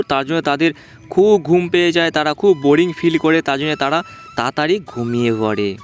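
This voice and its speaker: A man narrating a ghost story for a cartoon in simple, informal, repetitive spoken language. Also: a little high. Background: background music.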